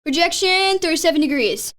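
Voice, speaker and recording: High-voiced, urgent battery commander played by a woman, curt and authoritative, for a radio play. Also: animated, a little fast, fairly bright tone. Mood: commanding. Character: commanding artillery officer barking firing orders.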